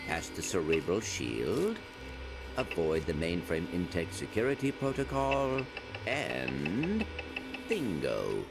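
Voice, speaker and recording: A man playing a polite, brainy little robot sidekick in an animated video game, speaking formally and precisely. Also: low-pitched, takes it slowly, gravelly, music in the background, exaggerated voice. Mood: confident. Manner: formal, precise, without contractions.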